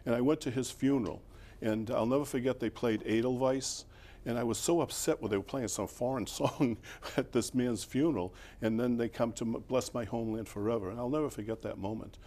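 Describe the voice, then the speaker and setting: An older man with a Boston accent, a former mayor recalling his life in a relaxed, rambling interview with fillers and chuckles. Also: mid-range voice, fairly quick.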